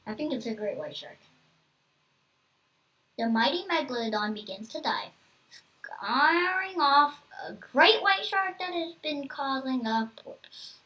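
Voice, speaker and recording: High-pitched child reader, a bit far off. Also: animated, sharp tone. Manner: halting, stumbling over hard words. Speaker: child reading a comic book aloud. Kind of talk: read-aloud with casual asides.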